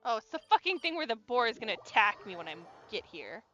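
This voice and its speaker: A woman on a live gaming stream talks quickly in a chatty, excitable way, and her voice swoops up and down as she reacts. Game music plays softly behind her. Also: fairly high pitch, highs cut off. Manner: chatty, excitable, swears casually.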